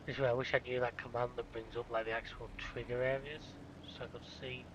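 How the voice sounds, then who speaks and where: Gamer with a blocked nose. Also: male voice, mid-range voice, flat delivery, fast, dull audio. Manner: grumbling, self-mocking muttering at the game. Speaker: gaming YouTuber. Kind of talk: casual gameplay commentary. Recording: game sounds and vehicle noise behind. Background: farming game vehicle noise.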